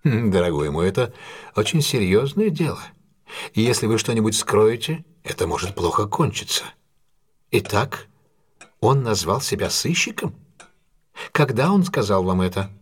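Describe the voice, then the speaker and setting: An actor in an audio drama playing a shrewd, commanding gentleman detective, husky, courteous and intense, with theatrical deliberate delivery. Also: lowish voice, big pitch swings. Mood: probing, stern. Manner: theatrical, authoritative, deliberate questioning.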